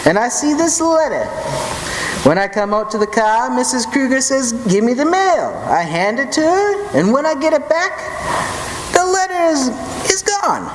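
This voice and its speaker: A man's voice: extremely high pitch, very animated, moderate pace. Casting: male actor as slangy wiseguy chauffeur, swaggering, old radio crime drama; reverberant live hall recording. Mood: sly, boastful. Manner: slangy, swaggering gangster-style talk. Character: cocky tough-guy chauffeur and bodyguard, streetwise wiseguy. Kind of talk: old-time radio detective drama acting. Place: live stage performance in a theatre hall. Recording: echoey stage hall with noise.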